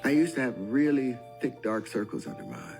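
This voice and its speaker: A man's voice: mid-range voice, lively intonation, fairly quick, creaky, over music. A man in his fifties being interviewed, answering in a candid, slightly hesitant way. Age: 50s.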